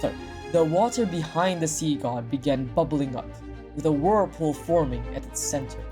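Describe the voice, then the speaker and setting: A woman reading as the solemn storyteller of a mythic visual novel, measured and dramatic. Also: low-pitched, expressive, music in the background. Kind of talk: visual novel narration read aloud.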